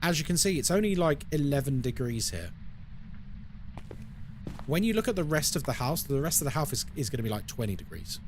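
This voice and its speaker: Game streamer, man, London accent, bright lively pitch that swings a lot, chatty, answering chat while explaining mechanics. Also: brisk, pauses often, a little hiss or hum. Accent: London English. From London, United Kingdom. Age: adult, over 20. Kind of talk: casual live-stream chat.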